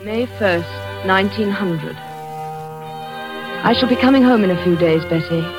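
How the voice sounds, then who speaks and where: An actress playing a frightened governess trapped in the dark, with a tremulous, pleading voice, in old-time radio drama style. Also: female voice, mid-range voice, normal speed, music in the background, natural voice. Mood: reflective. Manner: tremulous, pleading, rising to screams.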